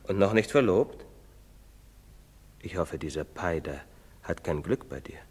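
An actor playing a pious, fatherly village priest who admonishes gently in a preacherly way. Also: deep voice, expressive, measured pace, husky, full character voice. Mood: admonishing. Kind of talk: stage play recording. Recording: long hall reverb.

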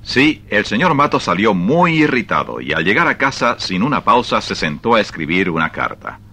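Deep, bright male radio-play narrator. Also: sing-song, moderate pace. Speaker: story narrator.

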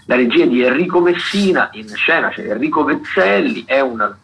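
Halting male radio host, noisy background. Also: mid-range voice, expressive, gravelly, a bit bright. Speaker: radio host presenting theatre listings. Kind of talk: informal radio rundown of theatre events.

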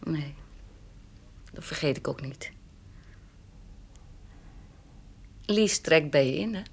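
An actress playing a calm, frank, independent woman in a radio drama, plain-spoken and gently teasing. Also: low-pitched, a little slow, husky, full character voice. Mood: gentle, reassuring.

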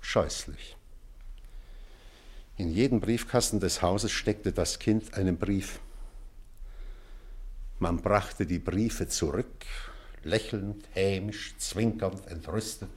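An actor playing a weary, remorseful old male schoolteacher in a literary drama, pensive and measured, with weighty pauses. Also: sits low, darkish tone. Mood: somber, confiding. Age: older. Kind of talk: German literary stage drama.

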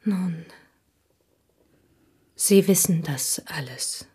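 An actress in a German radio play, playing an intense, embittered provincial Frenchwoman making a fervent, halting confession in a husky, breathy voice. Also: deep voice, dark tone, exaggerated voice. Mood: solemn, yearning. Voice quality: husky, breathy, dropping into whispers. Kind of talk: German radio play acting, dramatic monologue.

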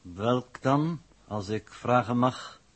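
A coolly superior male scientist in a 1960s radio play. He speaks formal, pedantic at an unhurried pace. The voice is medium in pitch and a little rough. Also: lively intonation. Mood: guarded, polite.

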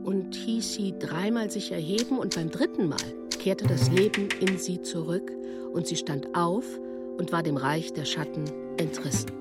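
Woman narrating over loud music. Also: fairly low pitch, moderate pace. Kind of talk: scripted literary radio narration.

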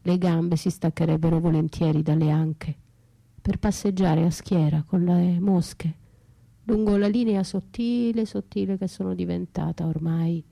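A woman's voice: lowish voice, steady tone, measured pace, vocal fry, dark tone, poor audio. An actress playing a battered, trapped, desperate woman in a theatrical radio monologue, speaking in poetic fragments and repeated words that lapse into whispers. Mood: bleak, dazed.

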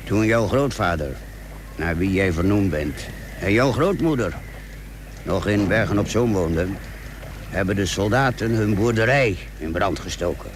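Stern gruff dad in an old radio play, lowish voice, really noisy recording. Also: male voice, animated, unhurried. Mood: solemn, tender. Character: stern but loving father sending his son to sea. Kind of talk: Dutch radio play acting.

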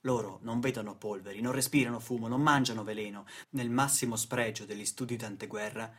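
A man's voice: lowish voice, fairly even intonation, fairly quick, a bit bright, slightly rough. A man delivering dramatic scripted narration for radio theatre in a solemn, theatrical manner, with short weighted phrases. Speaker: narrator and stage actor.

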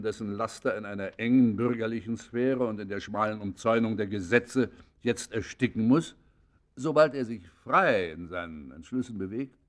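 An older actor playing a venerable, humane old judge in a classic radio play, speaking in a grave, formal, philosophizing manner. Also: male voice, lowish voice, lightly acted. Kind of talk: classic German radio play acting, literary and declaimed.

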